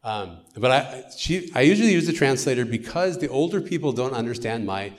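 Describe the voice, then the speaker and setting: Middle-aged American man, missionary, earnest church testimony, mid-range pitch. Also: sing-song, a little fast. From United States. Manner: earnest storytelling.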